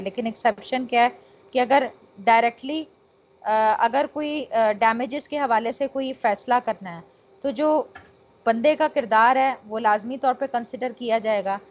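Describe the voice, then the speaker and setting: A woman with a Pakistani accent giving an instructional law lecture point by point, mixing in English technical terms. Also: a little high. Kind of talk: exam-prep lecture, explaining point by point. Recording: dull, lo-fi lecture recording.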